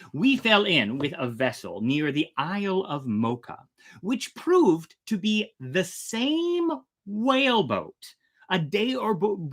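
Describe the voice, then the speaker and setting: An informal, enthusiastic host who moves between casual chat and dramatic storytelling. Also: mid-range voice. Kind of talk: chatty intro and dramatic reading of an old sea tale.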